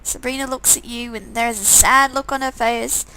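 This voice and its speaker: A woman's voice: fairly high pitch, steady tone, moderate pace, pushed and bright, clean tone, rough recording. A woman reading a visual novel game aloud, with sarcastic, amused asides in a casual style. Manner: sarcastic, amused commenting between read lines.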